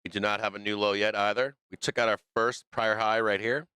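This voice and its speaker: A man's voice: on the low side, flat delivery, a little fast, sharp tone, smooth, no room sound. A man hosting a live stock market stream, losing his voice, thinking aloud through the charts in a loose way with fillers and restarts. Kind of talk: live trading commentary over charts.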